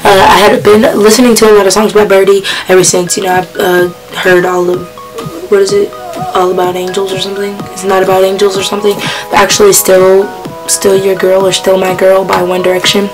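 A hoarse teenage girl rambles casually to her camera in a small bedroom, with plenty of fillers. Her voice stays flat and level, and music plays clearly behind her. The mic sits close in front of her. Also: medium pitch, a little fast, rough recording. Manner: chatty, rambling, with many fillers. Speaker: vlogger. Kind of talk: casual chat about a music playlist.

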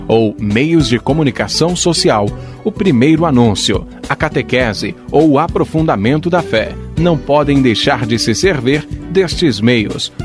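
A Brazilian man narrates from a script in a formal way. His voice is mid-pitched, with music clearly playing behind him.

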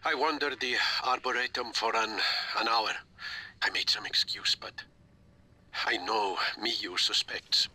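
An actor voicing a troubled elderly scientist in a game, confiding in a halting, weary manner. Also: male voice, lowish voice, sharp tone, husky, full character voice. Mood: troubled, confessional. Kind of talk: game voice acting, recorded confession.

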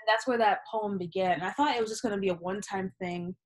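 A woman's voice: medium pitch, fast talker. A young woman poet, a native Yoruba speaker, talking candidly and animatedly about her writing over a video call from a small room at home. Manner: animated, gesturing, candid with swearing. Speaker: poet, interview guest. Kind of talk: casual reflective conversation. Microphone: laptop webcam on video call.

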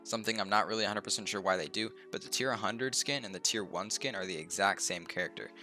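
A man's voice: lowish voice, fast, pushed and bright, faint music behind. A young man with a stuffed-up, slightly nasal voice, casually walking through game unlocks with lots of ums and uhs at his desk mic. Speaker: gaming youtuber. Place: home room, indoors.

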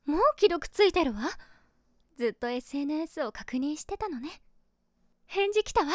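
Casting a secretly smitten young female streamer, voice drama monologue, gentle ladylike musing, high pitch, dry close recording. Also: expressive, moderate pace, no room sound. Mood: relieved, fond. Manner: feminine, gentle, musing to herself. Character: sociable, caring 27-year-old female streamer, secretly smitten.

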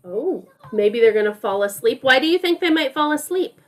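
A woman's voice: high-pitched, lively intonation, moderate pace. A woman teacher reading a storybook to young children and asking them questions in an encouraging, teacherly way. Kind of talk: storybook read-aloud with questions to a group of children.

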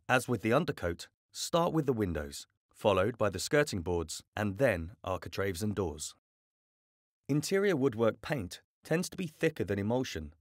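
A man calmly narrating a scripted home-improvement tutorial in a clear, measured way. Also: on the low side, dry recording, studio quality. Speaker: voice-over narrator.

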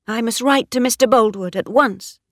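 A woman's voice: fairly high pitch, moderate pace, fairly bright tone, no echo, studio quality, voice pushed up. A woman voicing a proud, headstrong, lovestruck young mistress of a farm, emotional and insistent, as storybook dialogue. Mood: anxious, lovestruck.

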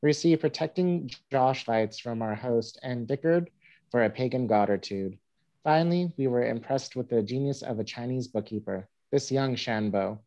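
A man's voice: medium pitch, moderate pace, mellow, dull audio, lightly acted. A young man reading the part of a wry frontier newspaperman, giving a florid monologue in a staged play reading. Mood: wry, musing. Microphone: video call.

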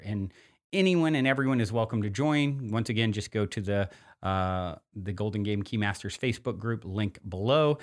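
A man hosting a podcast, talking casually in a rambling, enthusiastic and informal way. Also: medium pitch. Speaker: podcast host.

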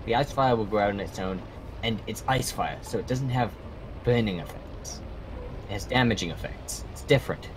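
Man playing solemn, low-voiced dragon mentor. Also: normal speed, noisy background, lightly acted. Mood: calm, instructive. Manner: solemn, formal, instructive. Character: stern mentor dragon guardian, proud protector of young fighters. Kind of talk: improvised Minecraft fantasy roleplay. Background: music.

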